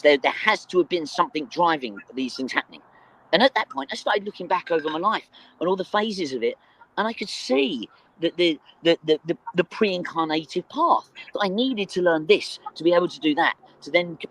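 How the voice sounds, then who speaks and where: A man with a fairly high voice talks in a casual spiritual discussion. He is earnest and emphatic, and often stammers, repeating small words as he builds his point. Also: a bit bright, dry recording, rough recording. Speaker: discussion group participant.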